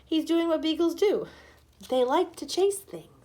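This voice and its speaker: A woman voicing a calm, practical father in a storybook, gently reasoning and reassuring. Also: extremely high pitch, measured pace, voice pushed up.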